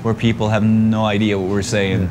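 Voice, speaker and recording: A man from northern Sweden with a Swedish accent, a band member calmly explaining in an interview. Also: on the low side, normal speed, noisy background. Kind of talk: casual interview answers.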